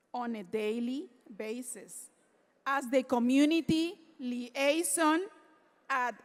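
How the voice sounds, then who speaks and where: A woman presenting an award at a formal luncheon, reading prepared remarks in a warm, earnest way. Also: high voice. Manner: reads prepared remarks, grows emotional. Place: large banquet hall. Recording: hall reverb from a PA system.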